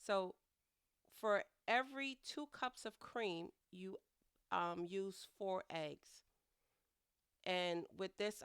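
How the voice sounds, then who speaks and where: A woman's voice: mid-range voice, fairly bright tone, clear, resonant tone, dry recording, studio quality. A middle-aged woman narrating her home cooking in a chatty, rambling way, sounding nasal from a head cold. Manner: chatty, rambling, laughs at herself. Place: home kitchen.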